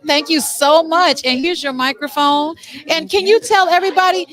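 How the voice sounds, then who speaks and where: A woman's voice: extremely high pitch, normal speed, a bit bright. A woman hosting an on-location interview show, talking in an effusive, encouraging way.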